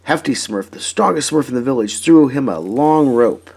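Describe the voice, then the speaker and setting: Animated man reading a children's story, husky voice. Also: mid-range voice, normal speed. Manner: animated, emphatic, dramatizing story moments. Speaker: storybook reader. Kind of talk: expressive children's read-aloud narration.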